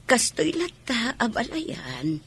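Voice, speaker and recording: A woman's voice: on the high side, huge pitch swings, slow, fairly bright tone, slightly rough, exaggerated voice. A woman playing an ailing, grateful elderly mother in a radio drama, plaintive, with a Filipino accent. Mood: regretful. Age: older. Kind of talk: Ilocano radio drama acting.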